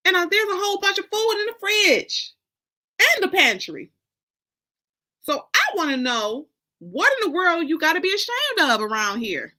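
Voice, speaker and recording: A woman's voice: extremely high pitch, sing-song, normal speed, sharp tone, voice pushed up, heavily acted. A woman voicing an indignant, scolding mother in a dramatic book reading, with emphatic, folksy, confrontational phrasing.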